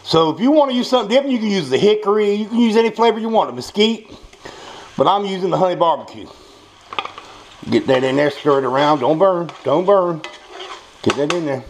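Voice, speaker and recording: A man's voice: high voice, animated, brisk, poor audio. A man with an American accent gives a casual, off-the-cuff home cooking demonstration, folksy and salty-tongued, often repeating himself. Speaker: home cook presenting a recipe. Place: small home kitchen at the stove. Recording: clear kitchen noise, pan and utensil clatter. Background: frying, stirring, clinking dishes.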